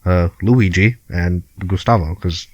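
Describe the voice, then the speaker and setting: A man's voice: low voice, unhurried, a little mellow, no echo. A young man with a Filipino accent co-hosting a podcast over a remote call, laid-back and laughing often as he swears casually. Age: young adult. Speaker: podcast co-host. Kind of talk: casual chat.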